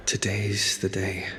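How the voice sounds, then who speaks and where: A man voicing a quiet, resolute young adventurer in a game, understated in delivery. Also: low-pitched, long reverb tail, a little hiss or hum, exaggerated voice. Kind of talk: video game character dialogue.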